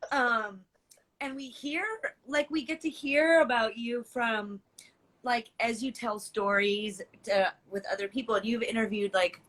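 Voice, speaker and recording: A woman's voice: fairly high pitch, steady tone, unhurried, fairly bright tone, rough recording. A woman chatting casually as a guest interviewer, effusive and giggly. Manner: effusive, giggly, uses "like" often. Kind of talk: casual chat.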